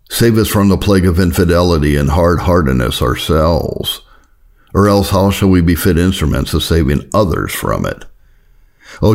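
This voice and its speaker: A man's voice: sits low, vocal fry, darkish tone. A man reading an old Puritan sermon aloud in a solemn, earnest, exhorting manner. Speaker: reader of historical Puritan sermons.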